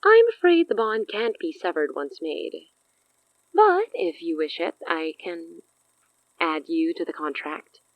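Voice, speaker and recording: A smug, low-voiced villainess. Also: female voice, big pitch swings, unhurried, dry recording, rough recording. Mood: smooth bargaining. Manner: smug, drawling, coy. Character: cocky, teasing supernatural villainess making deals. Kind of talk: horror audio drama acting.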